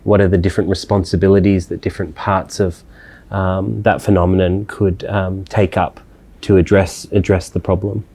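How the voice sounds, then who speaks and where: A man gives reflective answers in an interview. His voice sits low and sounds soft and mellow. He hesitates often and restarts his phrases as he thinks. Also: little pitch movement, moderate pace. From Australia. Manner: thoughtful, hesitant with many fillers and restarts. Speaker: interviewed scholar.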